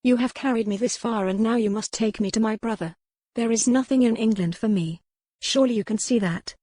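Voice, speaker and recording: A woman's voice: fairly high pitch, fairly quick, mellow, no room sound, voice pushed up, close to an everyday voice. A woman voicing a proud, defiant young noblewoman in an audiobook, with formal, insistent phrasing. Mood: defiant, demanding. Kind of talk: dramatic audiobook character reading.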